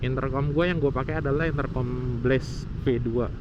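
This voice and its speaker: A man's voice: medium pitch, steady tone, normal speed, highs cut off, noisy background. A man explaining things informally to viewers in a casual motovlog, thinking aloud as he goes.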